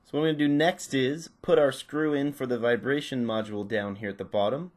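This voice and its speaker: A man with a medium-pitched voice presents a practical tutorial. He explains each step casually and without a script, talking as he works. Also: fairly quick, a little mellow. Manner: unscripted, narrating while working. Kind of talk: casual step-by-step hands-on instruction.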